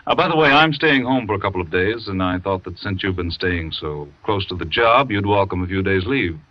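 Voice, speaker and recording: An actor playing a cold, scheming husband in a 1940s radio thriller, speaking low and curt on a dull old broadcast. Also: male voice, animated, fairly quick. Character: cold scheming husband, middle-aged man.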